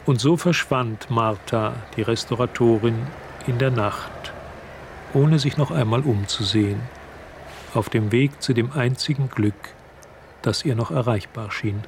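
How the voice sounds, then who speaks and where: An actor narrating a German radio drama as a sober storyteller telling a dark tale. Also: male voice, mid-range voice, a little slow, slight background noise, close to an everyday voice. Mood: sober, grave.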